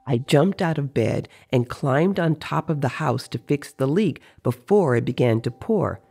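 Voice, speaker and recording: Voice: medium pitch, creaky, mellow, husky, full character voice. A reader voicing a teasing male sorcerer who tells tales of his dreams in an audio story.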